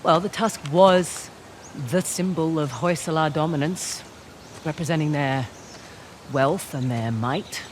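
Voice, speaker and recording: A woman's voice: low-pitched, unhurried, a little hiss or hum. An actress playing a wry, teasing woman treasure hunter with an Australian accent in a video game, speaking casually and naturally. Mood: reflective.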